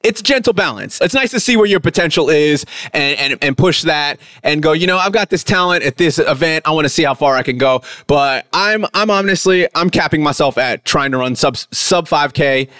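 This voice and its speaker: A man's voice: on the high side, animated, moderate pace, hardly any pauses, sharp tone, no room sound. A man in his late thirties hosting a podcast, talking casually in a rambling, self-correcting way. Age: late 30s. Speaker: podcast host. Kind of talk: casual conversational talk.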